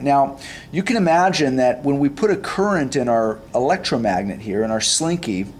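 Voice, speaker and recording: A man in his forties explains a science demonstration step by step, like a physics teacher, in a deliberate way. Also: a little mellow. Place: classroom science lab, indoors. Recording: roomy, distant mic, background rumble.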